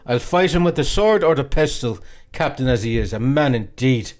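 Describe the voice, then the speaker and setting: A man's voice: medium pitch, lively intonation, moderate pace, a little mellow, natural voice. A man voicing a boastful, jealous fifteen-year-old boy in an audiobook, indignant and blustering. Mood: furious, boasting. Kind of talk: audiobook character acting.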